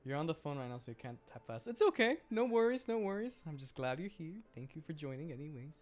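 A man's voice: a little high, big pitch swings, fast talker. A man giving casual, chatty, giggly livestream commentary while playing a video game. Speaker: VTuber game streamer. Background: game music.

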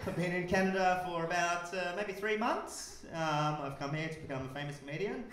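A man's voice: high-pitched, fairly quick, slight background noise. Awkward Australian comic in his thirties. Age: 30s. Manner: awkward, deadpan, bursts into silly songs. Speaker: stand-up comedian. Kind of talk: absurd stand-up set. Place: comedy club stage with audience. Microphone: stage mic on stand. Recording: roomy club sound.

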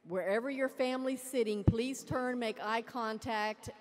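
A woman's voice: fairly high pitch, normal speed, a little mellow. A woman presiding as college president, giving a formal, ceremonial commencement address from a lectern microphone. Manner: ceremonial, proclaiming. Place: large arena at a lectern. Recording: long arena reverb.

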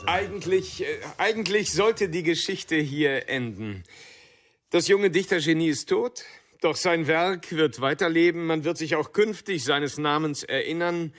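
A man's voice: on the high side, measured pace, a bit bright. An actor playing an ironic, slightly hesitant male storyteller in a radio drama.

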